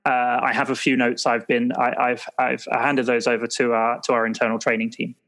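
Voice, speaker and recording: A man playing an earnest, rule-minded secret agent in an improvised roleplay, speaking haltingly and conscientiously. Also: mid-range voice, little pitch movement, a bit bright, lightly acted. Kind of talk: improvised roleplay audio drama.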